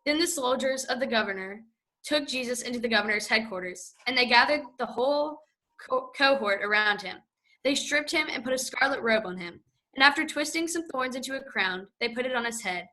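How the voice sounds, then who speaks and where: A woman narrating a scripture passage in church, reading in a steady, clear way. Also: a little high, brisk, rough recording. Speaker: scripture reader.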